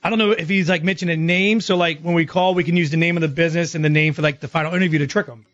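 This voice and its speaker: A man's voice: a little high, steady tone, rapid-fire, fairly bright tone. A man hosting a radio show, talking in a casual, chatty style. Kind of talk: casual radio banter and prank calling. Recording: slightly muffled lo-fi audio.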